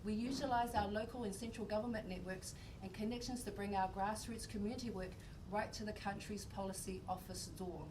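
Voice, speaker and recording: A woman's voice: mid-range voice, steady tone, a little fast, few pauses. A woman delivering a prepared speech, earnest and emphatic. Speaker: speaker, singer-songwriter. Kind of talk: prepared speech read aloud. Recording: distant mic in a noisy room.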